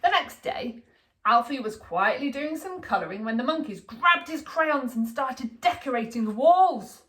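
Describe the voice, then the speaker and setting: A woman reading a children's picture book aloud in an expressive, deliberate storytelling manner for young listeners. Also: high-pitched, rough recording. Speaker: storybook reader, school staff.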